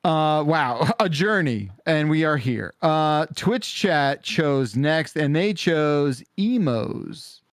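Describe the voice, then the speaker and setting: A man's voice: fairly high pitch, animated, takes it slowly, hardly any pauses, no echo, studio quality. A man hosting a podcast in casual, chatty panel conversation. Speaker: podcast host.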